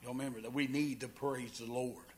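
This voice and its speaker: A man's voice: medium pitch, steady tone, brisk. Fervent pastor man calling out amens. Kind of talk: leading a church service. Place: church sanctuary.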